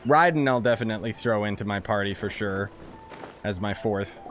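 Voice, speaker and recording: A man's voice: fairly low pitch, normal speed, fairly bright tone. A man giving casual, joking commentary while playing a video game, full of sarcastic asides. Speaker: gaming streamer. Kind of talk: casual let's-play commentary. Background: game audio.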